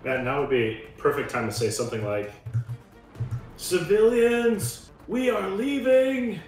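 A man's voice: sits high, a little slow, far from the mic. A man chatting casually as a tabletop game player, with exasperated asides. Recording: very echoey room. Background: music behind the voice.

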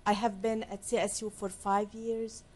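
A middle-aged woman from Lebanon, explaining her work as a career counselor in a soft, earnest way during a studio interview on a lapel mic. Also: mid-range voice, little pitch movement, normal speed. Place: small TV studio set, seated at a desk.

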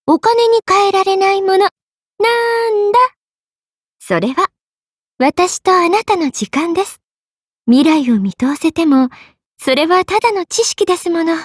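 High-pitched, playful merchant sorceress. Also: female voice, animated, a little slow, pauses often, no room sound, clean studio sound. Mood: sincere, tender. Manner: teasing, polite salesgirl lilt. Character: playful, money-loving half-spirit merchant sorceress, young woman. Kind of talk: Japanese anime game character voice acting.

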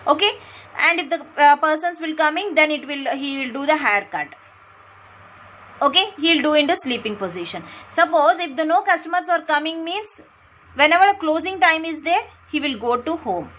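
A woman with an Indian English accent giving a whiteboard lecture, repeating phrases and pausing on fillers as she draws. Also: sits high, expressive, fairly bright tone, a little hiss or hum, low-quality recording. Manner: many fillers and restarts, repeats phrases to stress points. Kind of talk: whiteboard lecture, explaining while drawing. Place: indoors at a whiteboard. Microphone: close mic, speaker off camera, only hands seen writing.

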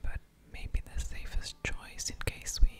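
A woman speaks in a hushed whisper with soft mouth sounds, in the style of ASMR gaming. She takes her time, murmuring her choices as she thinks aloud through a card game. Pauses fall between her short, unhurried phrases.

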